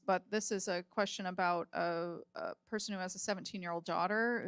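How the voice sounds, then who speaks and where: A woman hosts a live panel discussion in a hotel event room, reading out audience questions. She talks in a chatty way, stumbling and restarting with plenty of ums. Her voice sits at a middle pitch, and the sound is dry and close. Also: fairly bright tone, clear, resonant tone, no echo. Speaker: panel moderator.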